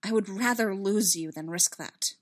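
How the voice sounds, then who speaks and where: A woman voicing an anguished, self-loathing young knight in a space fantasy story, halting and desperate. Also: mid-range voice, animated, close to an everyday voice. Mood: desperate, agitated.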